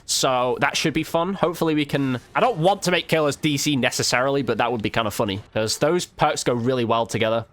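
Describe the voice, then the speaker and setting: A man's voice: mid-range voice, fast, few pauses, fairly bright tone. A man giving casual, informal gameplay commentary as a video host, with slang and mild swearing.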